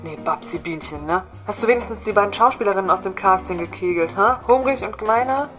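A cocky female secret agent, played by a woman in a comic audio sketch. She is bossy and brash, very sure of herself, with a middle-pitched voice over faint music. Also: fast talker, a bit bright, lightly acted. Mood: bossy, suspicious. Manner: brash, self-assured, punctuating with "hä" and "ne".

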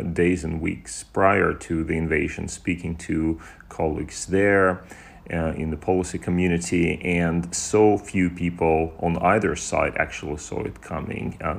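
A man's voice: deep voice, creaky. A man from Russia speaking as an expert interview guest, searching for words and often repeating them. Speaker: guest historian and political commentator.

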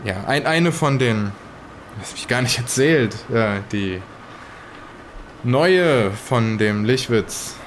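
Male survivor in an improvised horror audio drama, acted by a man: average pitch, sardonic and rattled, fragmented delivery, slightly noisy recording. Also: expressive, takes it slowly. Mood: haunted, halting.

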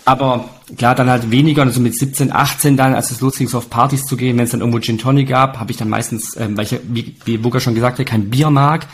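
A man's voice: mid-range voice, steady tone, quick pace, hardly any pauses, a little hiss or hum. A man in his late thirties telling anecdotes in a chatty, colloquial, casual way. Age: late 30s.

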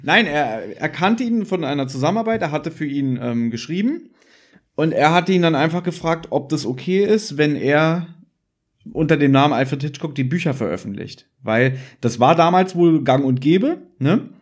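A man's voice: a little high, animated, moderate pace. A man hosting a podcast in casual chat, explaining things discursively with asides and little tag questions. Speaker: podcast host.

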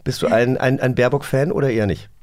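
A man's voice: mid-range voice, fast talker, darkish tone. A man hosting a casual radio talk show and quiz in a studio, chatting informally. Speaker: host.

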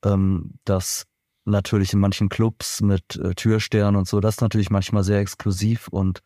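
Berlin guy, musician, mellow dark voice, dry close recording, lots of ums and restarts. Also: lowish voice, flat delivery, normal speed, no room sound. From Germany, Berlin. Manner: thoughtful, rambling with many fillers and restarts. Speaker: band member, musician guest. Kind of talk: casual interview answers. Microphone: remote podcast recording.

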